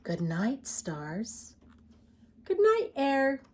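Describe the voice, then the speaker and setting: A woman reading picture books aloud to preschoolers as a storytime host, expressive and putting questions to young listeners. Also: high voice, extremely slow, slight background noise. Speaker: children's storytime host and reader. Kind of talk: read-aloud picture book narration for preschoolers.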